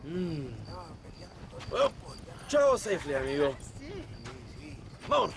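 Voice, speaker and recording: A man's voice: on the high side, big pitch swings, unhurried, background noise. A man playing a vain, womanizing Mexican revolutionary leader in a video game, with a Mexican accent and a pompous, theatrical manner. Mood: smug, fond. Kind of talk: video game cutscene acting.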